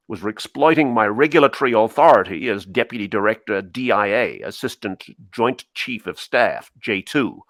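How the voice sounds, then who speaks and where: A man's voice: medium pitch, fairly bright tone, no echo. A man playing a guarded senior admiral in a dramatic reading, recounting secrets in clipped phrases. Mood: firm, recounting. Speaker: co-host reading a part. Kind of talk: dramatic cold reading of a memo transcript.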